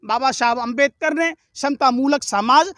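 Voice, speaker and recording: A man's voice: extremely high pitch, moderate pace, bright, forceful tone, dry recording, clean studio sound. A man speaking in a heated political argument, combative and indignant, with emphatic repetition and rhetorical questions.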